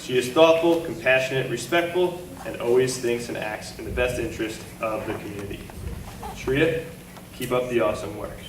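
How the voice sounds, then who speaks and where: A man's voice: medium pitch, moderate pace. Male teacher reading award remarks. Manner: steady, reading prepared remarks. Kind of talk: read-out award speech at a school assembly. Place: school assembly hall. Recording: echoey hall, far from the mic, noisy.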